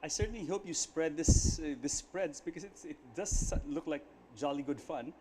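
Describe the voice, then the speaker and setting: A man's voice: fairly high pitch, moderate pace, very noisy. A man hosting a talk show, asking his guests questions in an enthusiastic, chatty way. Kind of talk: studio talk show interview.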